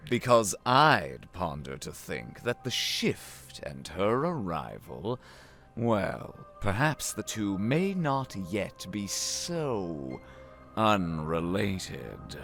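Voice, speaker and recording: An actor playing a sly, theatrical wind spirit in a visual novel, with a teasing, languid, dramatic delivery. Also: male voice, low voice, very animated, extremely slow, gravelly, fairly bright tone, exaggerated voice. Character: sly, theatrical male wind spirit, amused elder brother. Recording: music behind the voice. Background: game music.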